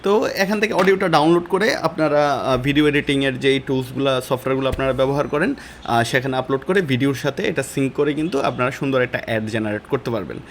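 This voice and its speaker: A man with a Bangladeshi accent teaching a step-by-step software lesson in informal, colloquial speech. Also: medium pitch, quick pace, slight background noise. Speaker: online course instructor.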